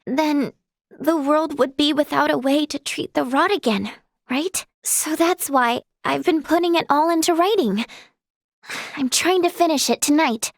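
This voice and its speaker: Caring young game healer girl, high voice that swings all over, earnest n a bit hesitant. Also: no echo, studio quality. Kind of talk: English video game voice acting.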